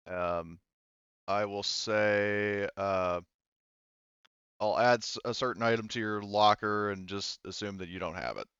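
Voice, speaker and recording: A man's voice: lowish voice, a bit bright, clean tone, no room sound, highs cut off. A man acting as game master for a tabletop roleplaying game, improvising narration and thinking aloud as he works out the rules. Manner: hesitant, thinking aloud while working out rules.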